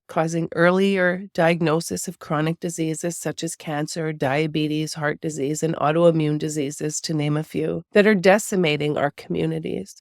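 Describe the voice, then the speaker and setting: A woman's voice: on the low side, dry recording, studio quality. A woman from Canada who sounds stuffed up from a cold, giving an earnest, campaigning prepared monologue to camera about her own project. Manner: earnest, campaigning tone, building lists. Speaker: channel host presenting her own project.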